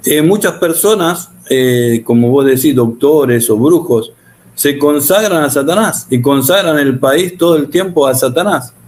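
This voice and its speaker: A man's voice: medium pitch, a little mellow. Host guy, prayerful, lots of "no?", slight hiss behind. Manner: prayerful, prompting with frequent "¿no?". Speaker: interviewer, host.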